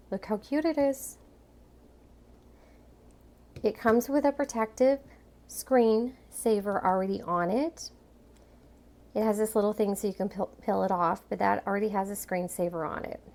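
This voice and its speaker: A woman's voice: mid-range voice, a little mellow. A middle-aged woman giving a casual product review in a chatty, enthusiastic and emphatic way.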